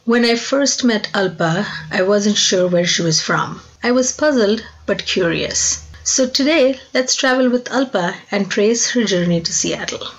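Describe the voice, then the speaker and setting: Woman, podcast host, measured scripted narration, mid-pitched voice. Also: animated, rough recording. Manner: measured, clearly read narration.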